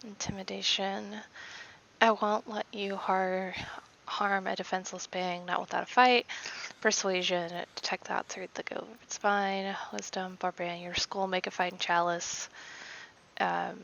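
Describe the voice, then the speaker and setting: A woman streaming a game, chatting softly and giggling, slightly raspy from allergies. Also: medium pitch, measured pace, highs cut off. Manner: relaxed, giggly, reads choices aloud. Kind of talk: soft-spoken gameplay chat. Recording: faint background noise.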